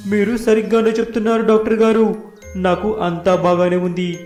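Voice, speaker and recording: A man's voice: high voice, little pitch movement, normal speed, a little mellow, pitched above the performer's own voice, natural voice. A man voicing a frank, matter-of-fact female buffalo in a children's cartoon story. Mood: frank, complaining. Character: talking female buffalo, calm, frank tattletale. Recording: roomy echo, faint music behind.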